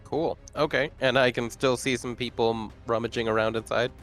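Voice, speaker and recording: A man's voice: mid-range voice, fairly even intonation, fairly quick, a bit bright, soft background music. A man playing in a tabletop game, talking casually and hesitantly with many fillers. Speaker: player.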